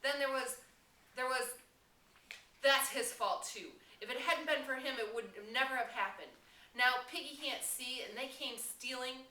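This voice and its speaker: A woman's voice: high voice, measured pace, bright, forceful tone, pitched above the performer's own voice. A woman reading the part of an outraged, despairing boy leader in a storybook, impassioned and emphatic. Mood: frustrated. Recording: roomy, distant classroom mic with noise.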